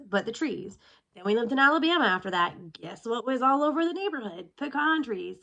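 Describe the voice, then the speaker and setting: A woman's voice: mid-range voice, bright, forceful tone, lo-fi sound. A woman in her thirties, sniffly from allergies, talking candidly in a casual self-recorded video check-in and drifting off on tangents. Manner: candid, chatty, goes off on tangents. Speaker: military spouse vlogger.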